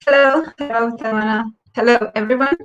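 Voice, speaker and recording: A woman's voice: on the high side, steady tone, highs cut off, faint music behind. Woman on a video call. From Croatia. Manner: halting, repeats words while searching. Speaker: community manager, panel guest. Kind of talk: online panel talk.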